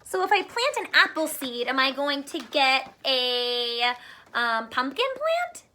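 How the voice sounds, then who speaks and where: A woman's voice: sits high, sing-song, takes it slowly, bright, forceful tone. A woman teacher giving children an upbeat read-aloud and gardening demonstration in simple terms.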